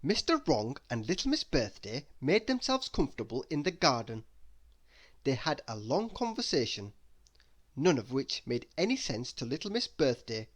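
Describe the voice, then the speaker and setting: A storybook reader narrating a children's tale aloud with expressive emphasis. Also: mid-range voice, moderate pace.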